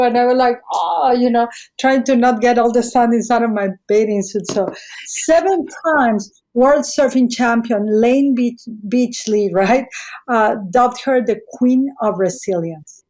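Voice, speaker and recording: A woman's voice: a little high, darkish tone, rough recording. A middle-aged woman with a Mexican accent and native Spanish, chatting effusively and digressively as she hosts a podcast. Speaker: podcast host. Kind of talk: casual, chatty podcast introduction.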